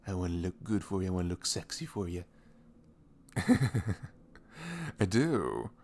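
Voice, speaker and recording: A man with a deep, husky voice plays a caring, affectionate boyfriend in an intimate ASMR roleplay. His delivery swings freely in pitch, rambling and playful. Breathy asides slip toward a whisper, keeping it casual and close. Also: sing-song, takes it slowly, a little mellow. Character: sweet, caring boyfriend chatting the morning after. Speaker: audio roleplay performer.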